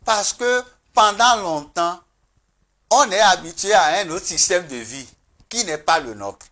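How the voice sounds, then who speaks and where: A man speaking as a traditional spiritual guest on a TV talk show, earnest and emphatic, with pauses and restarts. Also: high voice, expressive, pushed and bright.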